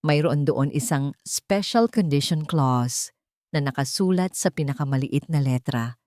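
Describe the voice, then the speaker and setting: Woman narrating drama, even-pitched, dry sound. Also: measured pace, no echo. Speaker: audio story narrator.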